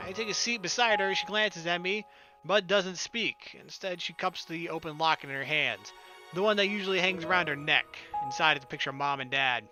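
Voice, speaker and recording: Male let's player, fairly high voice, expressive story reading with casual delivery, game music behind him. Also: fairly quick. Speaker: let's player reading a visual novel aloud. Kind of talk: expressive narration and dialogue reading with casual asides.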